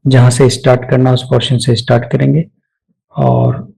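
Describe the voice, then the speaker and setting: A man with a dark, soft-edged voice walks through software steps as an instructor. He speaks at a middling pitch, explaining off the cuff and dropping in English technical terms as he goes. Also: monotone, moderate pace, vocal fry, slightly rough, poor audio. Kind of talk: step-by-step screen tutorial, spoken off the cuff.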